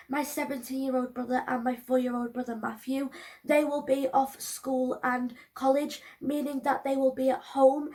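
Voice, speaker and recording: A woman in her early twenties, hoarse from allergies, talking to camera in a chatty, rambling way with many ums and restarts. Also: high voice. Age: early 20s. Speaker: vlogger. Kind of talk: casual talk to camera.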